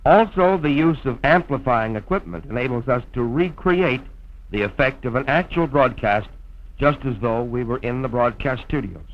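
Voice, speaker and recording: An American man narrating a scripted promotional film in a formal, measured old-time radio announcer's style, on a vintage soundtrack. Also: mid-range voice, dull audio. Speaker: radio program host and narrator.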